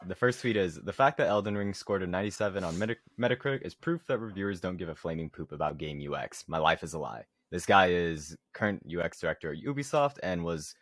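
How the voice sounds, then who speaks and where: A man's voice: low voice, expressive, fast, hardly any pauses, fairly bright tone, no echo. A man co-hosting a podcast, talking casually in an informal, slangy way. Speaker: podcast co-host. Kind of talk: casual gaming podcast chat.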